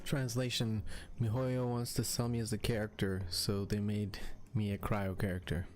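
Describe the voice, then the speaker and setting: A man's voice: lowish voice, little pitch movement, moderate pace. A man doing casual let's play commentary as a game streamer, thinking aloud in a hesitant way.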